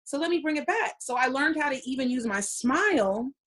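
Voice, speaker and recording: A woman in her forties speaks with an emphatic, animated manner in a relaxed podcast conversation. Her voice sits a little high for a woman and she tells her points like stories. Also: fairly quick. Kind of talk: casual panel discussion.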